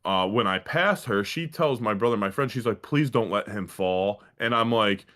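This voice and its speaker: A man co-hosting a podcast, telling comic anecdotes in a halting, slangy way with heavy swearing. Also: lowish voice, a bit bright. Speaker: podcast co-host. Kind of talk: casual comic anecdote chat.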